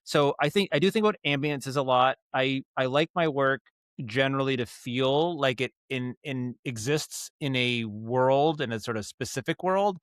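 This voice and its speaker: A man's voice: medium pitch, normal speed, clear, resonant tone, no room sound, studio quality. A man talking casually as an interview guest, explaining his craft in a thoughtful, rambling way with frequent self-corrections. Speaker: podcast guest, audio storyteller and director.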